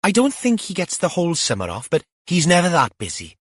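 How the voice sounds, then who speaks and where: A man's voice: on the high side, wildly swooping pitch, a little fast, husky, no echo, clean studio sound, voice pushed up, heavily acted. Man doing a fussy, earnest older husband. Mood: explaining.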